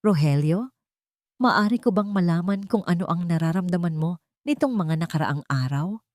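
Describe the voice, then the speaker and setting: A woman narrator voices a gentle, professional doctor in an audio drama. The tone is calm and measured, in a dry, close recording. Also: lowish voice, no echo, natural voice. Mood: gentle, probing.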